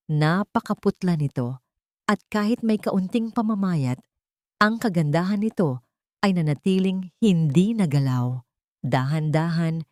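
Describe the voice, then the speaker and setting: A Filipino woman narrates a scripted drama. Her voice sits a little low for a woman. The sound is dry, with no room around it. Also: darkish tone, dry recording.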